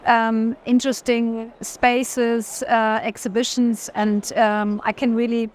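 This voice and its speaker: A woman talks as a guest on a tour. Her delivery is unscripted and conversational, pausing often as she searches for words. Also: on the high side, level tone. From Germany. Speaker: art collector, interview guest.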